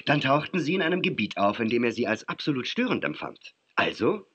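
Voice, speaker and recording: Courteous government ranger, bright forceful voice, very lively pitch, formal, 1980s children's radio play. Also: male voice, a little fast, slightly rough, dull audio. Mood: calm, explaining. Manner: formal, explanatory. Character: self-assured, courteous male undercover government ranger. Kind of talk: 1980s children's audio drama acting.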